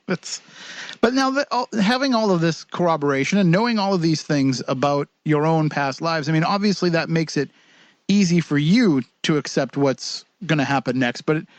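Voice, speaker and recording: A man with a fairly high voice, interviewing as a radio host. He speaks conversationally, and the sound is close and dry. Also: expressive, normal speed, dry recording.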